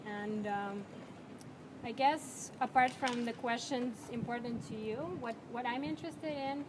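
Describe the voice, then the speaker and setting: High-pitched woman over clear event noise. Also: unhurried. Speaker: organizer and panel speaker. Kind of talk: introductory speech at a panel discussion. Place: live panel discussion at a sports festival. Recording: clearly noisy event ambience.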